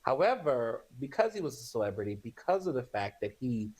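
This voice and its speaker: A man's voice: medium pitch, sing-song, a little fast, a little mellow, slightly rough. A man speaking as a guest commentator in a news interview, opinionated, emphatic and conversational.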